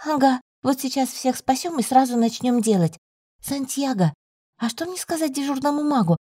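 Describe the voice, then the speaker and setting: A young witch heroine, voiced by a woman narrator, sounds flustered and unsure. Her voice is mellow and slightly husky, with an informal, hesitant delivery suited to a fantasy audiobook. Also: high-pitched, animated, moderate pace, no room sound, studio quality, pitched above the performer's own voice. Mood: hurried, worried.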